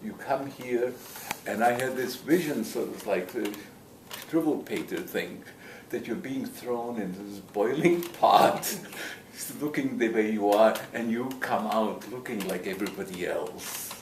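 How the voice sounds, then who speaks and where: A man's voice: lowish voice, unhurried. Older male interviewee, slight Latvian accent, casual self-deprecating storyteller, roomy sound, away from mic. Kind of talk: casual reminiscence. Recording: echoey room, distant mic, noisy background.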